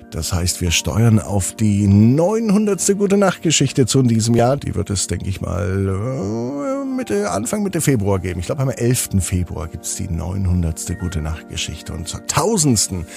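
A man hosting a children's podcast and narrating bedtime stories, speaking directly to young listeners in simple, unhurried phrases. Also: sits low, creaky, mellow. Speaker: podcast host and bedtime storyteller.

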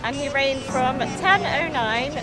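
High-voiced young woman vlogging over music. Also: animated, takes it slowly, over a noisy crowd. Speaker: travel vlogger. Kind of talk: casual vlog chat to camera. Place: mostly outdoors in busy city streets and squares.

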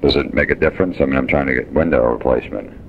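Really deep voiced guy doing a deadpan prank call, playing dense, muffled sound. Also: fast talker, gravelly. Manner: deadpan, repeats questions back, obtuse. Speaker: prank caller. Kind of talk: deadpan phone prank conversation. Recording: muffled, noisy phone call recording.

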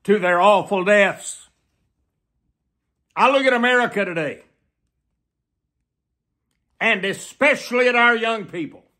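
An elderly man in his seventies preaching a solemn warning, deliberate, repeating phrases for emphasis. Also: high-pitched, bright, forceful tone. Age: 70s. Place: small plain room indoors.

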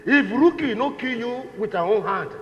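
An actor as a jovial Nigerian layabout man in a stage comedy. His voice is very high, loud and full of boastful teasing. It rings in an echoey hall with muffled sound. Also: lively intonation, normal speed, a little hiss or hum. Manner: loud, teasing, breaks into laughter. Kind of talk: stage play comedy acting in Pidgin and Yoruba. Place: indoor theatre stage, distant camera.

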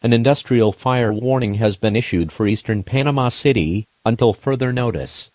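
A man's voice: medium pitch, moderate pace, a little mellow, no room sound. A man's formal, impersonal synthetic voice reading a scripted emergency alert announcement.

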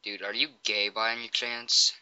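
Sharp, clear-voiced man making a prank call. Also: lowish voice, little pitch movement, moderate pace, no room sound, lo-fi sound. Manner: informal, provocative, feigning cluelessness. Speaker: prank caller. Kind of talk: casual prank phone call.

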